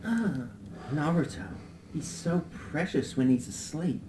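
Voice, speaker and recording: A man's voice: fairly high pitch, lively intonation, unhurried. A man voicing a bewildered, melodramatic character in an anime parody dub, heard through echoey, noisy playback. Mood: melodramatic, slow. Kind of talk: fan parody dub acting.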